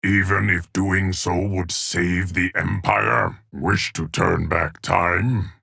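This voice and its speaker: A man playing a stern, ancient keeper of time in a fighting video game, with a gravelly, husky voice and a formal, portentous delivery. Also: sits low, fairly even intonation, slow pace, pushed and bright, no echo, studio quality, heavily acted. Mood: solemn, measured. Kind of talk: dramatic fighting game character lines.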